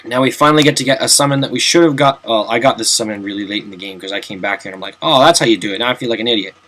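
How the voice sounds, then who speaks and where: A man's voice: mid-range voice, very fast, fairly bright tone. A young man giving casual, chatty commentary while playing through a game, with self-mocking jokes and asides. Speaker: let's play commentator.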